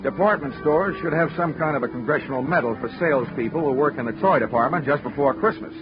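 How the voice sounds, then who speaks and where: An actor playing a wry, sardonic hard-boiled insurance investigator in a 1940s radio detective drama. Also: male voice, on the high side, fast, music in the background, natural voice. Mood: hard-boiled narration. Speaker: lead actor and narrator. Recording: noisy, muffled 1940s radio recording.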